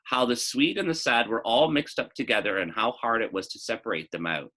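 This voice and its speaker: A man reading a children's novel aloud as expressive narration, now and then adding a short teaching aside. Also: medium pitch, brisk.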